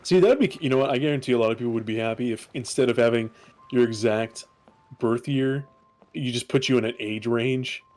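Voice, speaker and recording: Homem jovem em conversa descontraída de live. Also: male voice, mid-range voice, fairly quick, darkish tone. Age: 20s. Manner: laughing, joking, trailing off mid-sentence. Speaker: stream co-host. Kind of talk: casual chat.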